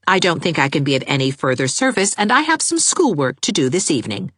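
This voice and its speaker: A woman reading aloud, voicing a dignified young schoolteacher who speaks in a crisp, icy, stately way. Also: low voice, sing-song, fast talker, a bit bright, no room sound, clean studio sound, exaggerated voice. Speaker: teacher.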